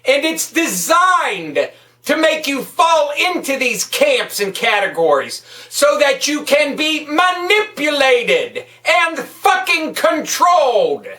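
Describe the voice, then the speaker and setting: In a roomy, echoing space a little away from the microphone, a woman rants about politics. Her voice is sharp and forceful, and she speaks slowly for emphasis. She is hectoring and swears freely. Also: sits high, big pitch swings. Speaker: political vlogger. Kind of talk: angry political rant. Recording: echoey room, distant microphone.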